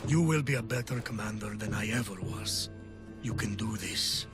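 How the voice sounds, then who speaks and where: An actor voicing a middle-aged male general, measured, solemn and fatherly, in a game cutscene. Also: on the low side, lightly acted. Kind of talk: video game cutscene acting. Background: game score music.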